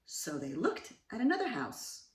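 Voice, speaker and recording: A woman reading a storybook aloud to children, expressive and sing-song, with questioning asides. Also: medium pitch, normal speed, far from the mic, a little hiss or hum. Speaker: children's read-aloud host. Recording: roomy, set back from mic.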